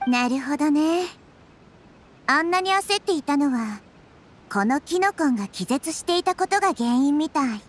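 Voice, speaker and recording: High-voiced, wise little girl deity. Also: animated, a little hiss or hum. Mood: thoughtful, gentle. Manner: composed, measured, explanatory. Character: small wise girl deity, calm, gentle, curious. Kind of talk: Japanese anime game voice acting.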